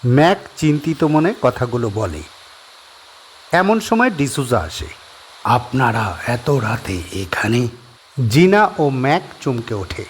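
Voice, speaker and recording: A man narrating a horror audio story with expressive, suspenseful storytelling. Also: mid-range voice, slight background noise. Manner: expressive storytelling with rising and falling tension.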